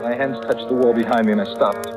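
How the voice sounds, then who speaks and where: A man's voice: medium pitch, steady tone, quick pace, close to an everyday voice, low-quality recording. An actor playing a guilt-ridden young seaman narrating his own terror in an intense, haunted old radio drama style. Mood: hushed dread. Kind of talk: 1940s-50s radio drama acting, first-person suspense narration. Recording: vintage radio recording with loud music.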